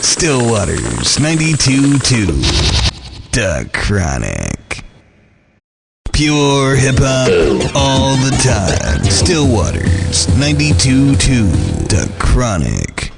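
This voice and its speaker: An actor playing a swaggering hip-hop radio station announcer, delivering punchy idents with a gravelly voice. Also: male voice, mid-range voice, huge pitch swings, very slow, over loud music, exaggerated voice. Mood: hyped swagger.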